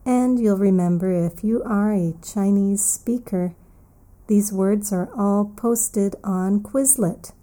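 A woman teaches English vocabulary in a slow, deliberate way, pausing over each word and saying it again. Her voice sits in a middle register with a very dark, mellow tone. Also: medium pitch. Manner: slow, careful explaining, repeats key words. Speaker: English teacher and podcast host. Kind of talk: English vocabulary lesson for learners.

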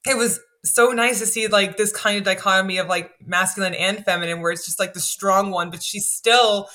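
A woman talking casually on a podcast, with a lower voice that still comes across bright and forceful. She is animated and chatty, and she leans on "like" as a filler. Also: a little fast.

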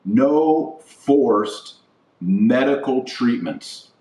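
An American man delivering a political monologue as a podcast host, emphatic and deliberate, stressing his phrases with pauses. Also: medium pitch, lively intonation, warm tone. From United States. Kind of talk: political platform monologue, speech-like. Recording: roomy echo, distant from mic.

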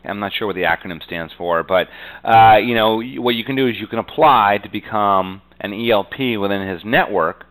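Low, clear-voiced man talking shop casually. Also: normal speed, fairly bright tone, poor audio. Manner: conversational, repeats "you know" heavily. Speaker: real estate agent, interview guest. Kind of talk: casual business interview answers.

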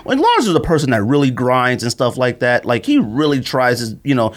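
A man's voice: mid-range voice, a little fast. A man co-hosting a podcast, telling stories casually in slangy speech and acting out what people said. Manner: slangy, animated storytelling, acting out quotes. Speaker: podcast co-host. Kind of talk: casual podcast chat and storytelling.

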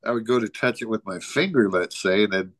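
A man's voice: lowish voice, a little fast, no echo. A man co-hosting a casual talk show, telling an anecdote in a rambling way with lots of "you know" and frequent chuckles. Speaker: podcast co-host.

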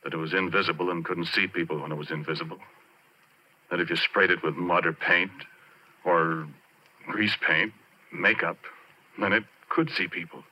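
Obsessed ex-oil driller, American, haunted, halting confessional delivery, very sharp low voice, vintage radio horror. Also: male voice, steady tone. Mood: grim, confiding. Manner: halting, conversational, brooding with dramatic pauses. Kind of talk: 1940s radio horror acting, intimate first-person narration. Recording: dull, noisy 1940s radio recording.

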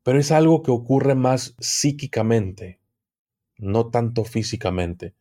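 A man, a guest expert on the occult, explaining things in a deliberate, unhurried way with frequent restarts. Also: mid-range voice, fairly even intonation, warm tone. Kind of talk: explanatory conversational talk.

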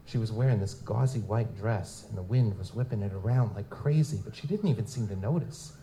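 An actor playing a world-weary, cynical private investigator in a live noir audio drama, wry and slangy in manner. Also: male voice, lowish voice, brisk, mellow, close to an everyday voice, rough recording. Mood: brooding, wry. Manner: wry, slangy, hard-boiled. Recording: live convention performance, clear background noise.

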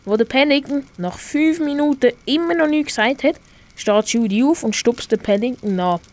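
A woman's voice: high voice, animated, normal speed, a little mellow. A teenage girl with a Swiss German accent reading scripted narration for a school radio play.

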